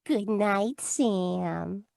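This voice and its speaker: A woman's voice: medium pitch, very slow, vocal fry, darkish tone, dry recording. A woman playing a sweet, naive, adoring young secretary in 1940s radio style, gushing and wide-eyed. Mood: coy. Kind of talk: 1940s radio comedy-drama acting.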